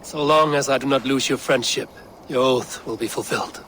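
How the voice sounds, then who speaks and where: An actor playing a stern male Viking raid leader in a video game cutscene, speaking in a grave, measured, commanding way. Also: mid-range voice, fairly bright tone, a little hiss or hum, close to an everyday voice. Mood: resolute.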